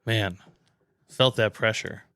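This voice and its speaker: A man's voice: on the low side, fairly even intonation, takes it slowly, pushed and bright, smooth, dry recording, studio quality. A man co-hosting a podcast in casual chat, with a wry, teasing way of talking. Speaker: podcast co-host.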